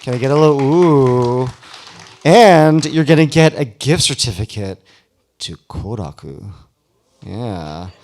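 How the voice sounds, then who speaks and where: A man's voice: medium pitch, lively intonation, slow, darkish tone. A man hosting a live ceremony in a casual, informal way with playful banter. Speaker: event host. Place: live awards ceremony before an audience.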